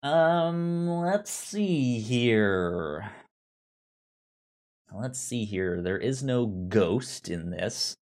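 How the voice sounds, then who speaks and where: Halting man with lively, swooping pitch. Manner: halting, often repeats words and restarts. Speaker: podcast co-host. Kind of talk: casual film review chat.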